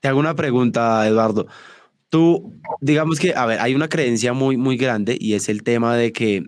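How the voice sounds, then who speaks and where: A man's voice: mid-range voice, moderate pace, no echo, studio quality. A man hosting a podcast interview, upbeat and keen, with frequent short "súper" replies as his guest answers. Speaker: podcast host.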